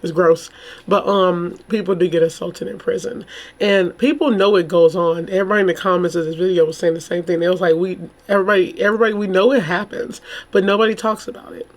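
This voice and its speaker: Slightly hoarse, informal woman vlogger. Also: fairly low pitch, fairly even intonation, quick pace. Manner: informal, rambling, exclamatory. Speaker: YouTube true crime host. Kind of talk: casual chatty commentary to camera.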